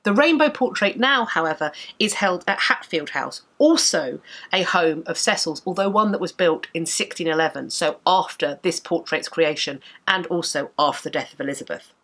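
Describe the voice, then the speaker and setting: A woman in her thirties with a stuffy, congested nose and a sore throat, her voice sitting a little low. She explains history in a measured, articulate, enthusiastic way, talking straight to a camera in her book-lined study. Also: fairly bright tone. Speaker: history educator and channel host. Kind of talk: scripted history lecture to camera. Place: home study with bookshelves, indoors.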